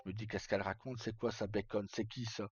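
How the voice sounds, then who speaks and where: A man's voice: on the low side, level tone, fast, breathy edge, no echo, muffled recording. A man chatting casually as a streamer, recounting stories in a rambling, hesitant, colloquial way. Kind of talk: casual live chat, recounting roleplay stories.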